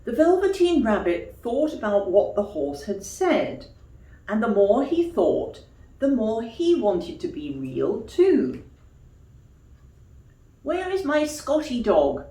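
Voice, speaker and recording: A woman storyteller reading expressively to young children like a teacher, pausing for questions and explanations. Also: a little high, sing-song, warm tone. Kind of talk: children's storytime read-aloud with explanatory asides. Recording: echoey room.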